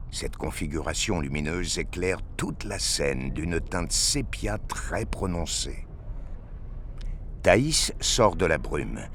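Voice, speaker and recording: Narrator, male: very low voice, unhurried, solemn scripted storytelling, noisy ambient bed underneath. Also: a bit bright.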